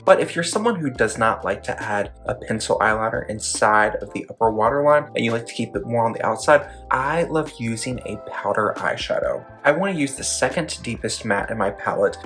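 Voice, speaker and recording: A man narrating a makeup tutorial, gently explaining each step in detail. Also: vocal fry. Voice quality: describes own voice as deep.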